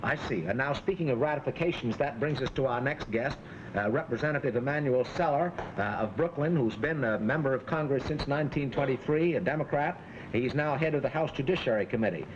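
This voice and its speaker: A man hosting a semi-formal broadcast panel interview in the 1940s, phrasing his questions in a halting way. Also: mid-range voice, lively intonation. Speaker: host and moderator of a panel discussion. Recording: old 1940s broadcast, faint background noise.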